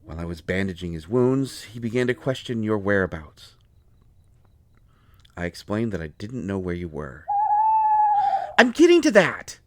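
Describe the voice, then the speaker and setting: A man's voice: fairly low pitch, wildly swooping pitch, normal speed, pauses often, no room sound. A man acting out a harried, protective royal court doctor in an audio roleplay, defensive and exasperated with a lover. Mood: earnest, weary. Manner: pleading, exasperated, swinging from soft explaining to flustered outbursts. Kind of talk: dramatic audio roleplay, one side of a conversation.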